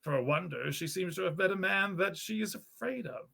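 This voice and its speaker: A man voicing a playful, jovial young brother in a storybook, bantering with animated old-fashioned slang. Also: high voice, fairly quick, vocal fry, pitched above the performer's own voice, exaggerated voice. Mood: amused, puzzled.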